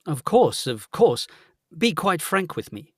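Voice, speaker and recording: Courteous earnest gentleman, played by a man. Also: on the high side, big pitch swings, slow, husky, no room sound, studio quality, full character voice. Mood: earnest, puzzled. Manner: polite, genteel, old-fashioned. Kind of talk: gothic audio drama acting.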